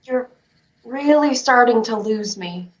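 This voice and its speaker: A woman's voice: on the high side, expressive, takes it slowly, dull audio. A woman playing an anxious, insistent young girl in a staged play reading, earnest and protective. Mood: worried, pressing. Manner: earnest, flustered, pressing.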